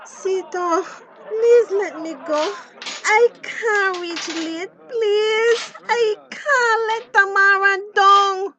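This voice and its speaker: Very high-pitched, desperate young mother. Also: fairly even intonation, extremely slow, sharp tone, exaggerated voice. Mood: tearful pleading. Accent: Belizean Creole. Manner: pleading, urgent. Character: desperate pleading young mother held back from leaving. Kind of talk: radio drama acting.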